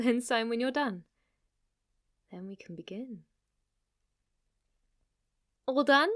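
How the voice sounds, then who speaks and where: A woman's voice: high voice, a bit bright, heavily acted. A woman playing a smug, clingy, lovestruck witch in an ASMR roleplay, teasing and coy as she speaks to the listener. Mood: playful, eager. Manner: teasing, coy, overconfident, with giggles and asides.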